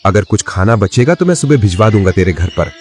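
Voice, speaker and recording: A man's voice: lowish voice, very animated, a little fast, darkish tone, slight background noise. A man voicing an arrogant, domineering rich elder brother in a moral-story cartoon. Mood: scornful anger. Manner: scornful, domineering.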